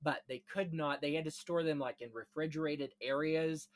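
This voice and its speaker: A man's voice: a little high, steady tone, moderate pace. A man hosting a casual discussion of film history, explaining things in rambling, enthusiastic detail. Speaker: podcast host.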